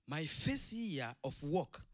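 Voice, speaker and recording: A man's voice: fairly high pitch, very animated, slow pace. A middle-aged man from Rwanda, preaching an impassioned sermon as a pastor in an emphatic, repetitive way. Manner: emphatic, repetitive, swinging between quiet asides and loud declamation.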